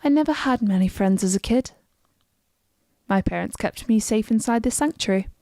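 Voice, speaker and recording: A woman's voice: mid-range voice, lively intonation, moderate pace, warm tone, no room sound, clean studio sound, natural voice. A woman voicing a young Nord warrior woman in a fantasy game, bloodthirsty yet wistful about her family. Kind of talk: scripted game dialogue.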